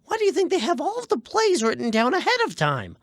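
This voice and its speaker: High-pitched shady little rat. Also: male voice, huge pitch swings, fast, dry recording, clean studio sound, full character voice. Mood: insistent, cocksure. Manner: folksy, wheedling, insistent. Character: shady, chatty rat con artist, cocksure schemer. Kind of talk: scripted radio comedy.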